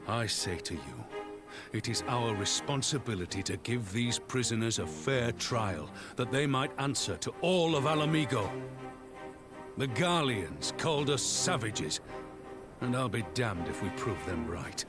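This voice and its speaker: A man's voice: medium pitch, animated, unhurried, over loud music, lightly acted. A man playing a solemn, commanding resistance leader in a video game, giving formal, impassioned oratory. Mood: solemn, resolute. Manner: stately, formal, impassioned rhetorical delivery. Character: solemn, commanding male resistance leader rallying an angry crowd.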